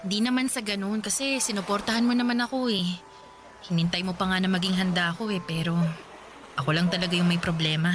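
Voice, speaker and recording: A woman playing an apologetic, frank former girlfriend in a Tagalog radio drama, speaking softly and conversationally with hesitant pauses. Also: mid-range voice, fairly even intonation, natural voice. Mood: apologetic, candid. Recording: music bed behind the voice.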